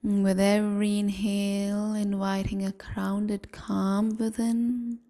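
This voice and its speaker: A woman leading a guided meditation in a gentle, soothing, half-whispered voice, leaving long pauses between phrases. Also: medium pitch, level tone. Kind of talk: guided evening body scan meditation.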